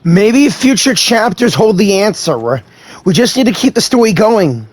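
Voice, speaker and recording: A man's voice: high voice, big pitch swings, a little slow, exaggerated voice. A man voicing an eager, friendly young adventurer in a fantasy game. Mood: curious.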